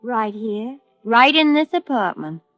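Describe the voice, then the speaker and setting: An actress playing a defensive, impatient widow in an American radio drama, speaking in a clipped, indignant manner. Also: female voice, on the high side, animated, measured pace, dry recording, soft background music. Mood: guarded, irritated. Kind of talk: 1950s radio drama acting. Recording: dull old radio recording.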